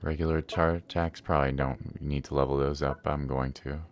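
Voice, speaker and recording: Very deep-voiced man gaming casually. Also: fairly even intonation, a little fast, a little hiss or hum. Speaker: gamer streaming a playthrough.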